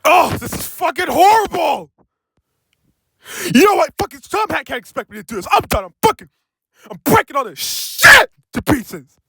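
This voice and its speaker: A man's voice: sits high, wildly swooping pitch, moderate pace, pushed and bright, dry recording, voice pushed up, full character voice, poor audio. A man voicing a hot-tempered, foul-mouthed male steam engine in a comic cartoon parody, screaming and swearing. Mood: furious.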